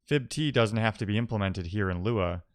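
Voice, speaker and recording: Low-voiced man thinking aloud. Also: moderate pace, dry recording, studio quality. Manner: musing, explanatory, poses questions to himself. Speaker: live-coding streamer. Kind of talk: casual technical talk, thinking aloud.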